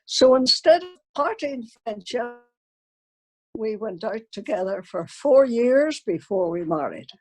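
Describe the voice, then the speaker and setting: An elderly grandmother with a fairly high voice tells unscripted stories from her life to her family. The woman recounts them as anecdotes, speaking the remembered dialogue as she goes. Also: expressive, unhurried, slightly rough, rough recording. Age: older, elderly grandmother. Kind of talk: unscripted personal storytelling to family.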